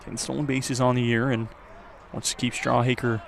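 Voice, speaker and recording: A man giving live play-by-play baseball commentary for radio, his voice lifting on the action and settling between pitches. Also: little pitch movement, normal speed. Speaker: play-by-play announcer. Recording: clear outdoor ambient noise. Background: outdoor ballpark ambience.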